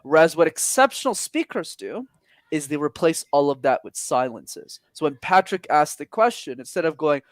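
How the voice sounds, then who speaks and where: A male speaking coach giving advice in an online session, his fairly high voice rising and falling with a lot of animation. He talks with energetic emphasis, and the sound is dry and close, with no room in it. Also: moderate pace, no room sound. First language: not English. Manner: energetic, emphatic, lively pitch swings. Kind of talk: webinar advice talk.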